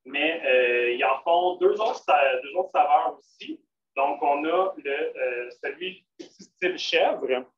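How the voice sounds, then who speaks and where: Sa voix assez haute, captée à distance dans une pièce réverbérante, sonne sourde et un peu lointaine. C'est un homme à l'accent québécois qui explique une recette en direct, sur un ton familier, en cherchant ses mots. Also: male voice, on the high side, takes it slowly, fairly bright tone. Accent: Québécois French. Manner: casual, many fillers and restarts. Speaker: cook at a vegan restaurant. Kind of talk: live cooking demonstration. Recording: roomy, distant, dull, faint noise.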